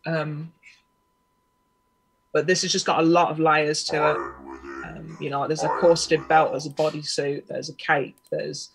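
A woman's voice: low voice, level tone, unhurried, gravelly, long pauses, noisy background. A woman guest chatting casually on a video call from home, easygoing with many fillers and a self-conscious laugh. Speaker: guest cosplayer. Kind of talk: casual interview chat. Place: home room. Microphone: webcam mic on video call.

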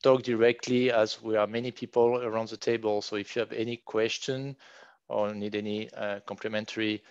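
A man in his forties with a strong French accent, moderating an online workshop over a headset on a video call, halting with many fillers and restarts. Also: on the low side, little pitch movement, clear, resonant tone, no echo. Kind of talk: online meeting facilitation. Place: home room, virtual background. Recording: dull, dry video call audio.

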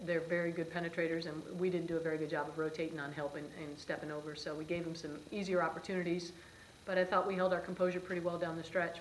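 Woman, middle-aged, basketball coach, low voice, rapid, matter-of-fact, post-game press conference, echoey room. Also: a little hiss or hum. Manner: brisk, matter-of-fact, with frequent "um" and "you know". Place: press room before a sponsor backdrop, seated at a table.

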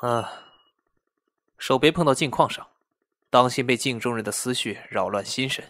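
A man's voice: mid-range voice, moderate pace, a bit bright, natural voice. A man acting an aloof, imperious immortal lord in a fantasy audio drama. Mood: cool, unhurried.

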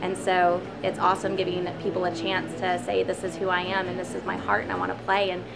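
A woman's voice: fairly low pitch, monotone, a little fast, gravelly, heavy background noise. A young woman on the cafe staff, talking in an upbeat, chatty way in an interview. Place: cafe, indoors. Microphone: lapel.

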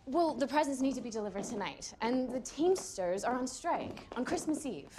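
A chatty, coaxing young reporter. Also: female voice, sits high, expressive, moderate pace, noisy background. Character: perky young woman reporter, persuasive. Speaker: newspaper staffer. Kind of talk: TV drama acting. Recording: drama audio with music score.

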